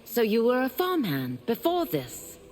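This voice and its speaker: A woman's voice: on the high side, huge pitch swings, measured pace, darkish tone, faint music behind. An actress playing a haughty, menacing young female warrior in a video game, with a taunting, mocking delivery.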